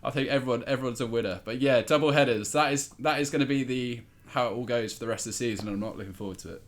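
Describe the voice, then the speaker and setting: A man with a mid-pitched voice talks casually as a podcast panellist. He tells stories in a wry, self-deprecating manner, as if among friends on a remote call. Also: very fast.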